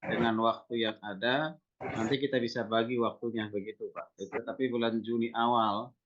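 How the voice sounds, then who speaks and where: A man speaking for his school in an online meeting, halting and full of fillers. His voice comes through dull, lo-fi call audio. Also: medium pitch, fairly even intonation, a bit bright. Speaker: meeting participant, school representative. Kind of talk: casual online meeting announcement.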